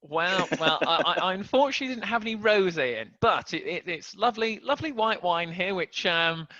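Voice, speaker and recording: A man's voice: high voice, lively intonation, brisk, sharp tone, no echo, muffled recording. A man hosting a casual video podcast, joking and stumbling over restarts as he talks. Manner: chatty, jokey, stumbling restarts. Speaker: podcast host.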